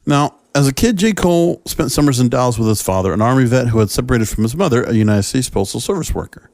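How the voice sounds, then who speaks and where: A male podcast host delivers solo commentary in a mid-pitched voice. He speaks in short, halting phrases, and the sound is dry with very little room around it. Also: lively intonation, darkish tone, dry recording.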